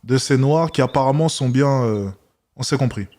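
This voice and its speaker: A man's voice: mid-range voice, moderate pace, darkish tone. A man hosting a live stream, reacting with hesitant, wry commentary full of restarts.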